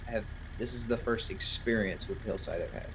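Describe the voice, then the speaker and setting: A man's voice: lowish voice, a little fast, background noise. A man chatting casually in an online roleplay game, hesitant and rambling. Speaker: new roleplay player.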